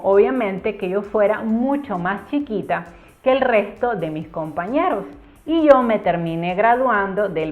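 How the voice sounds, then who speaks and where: A young woman from Cuba telling her own story in a casual, earnest storytime vlog, stretching words for emphasis. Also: medium pitch. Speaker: YouTuber. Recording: tinny phone-like sound with faint music.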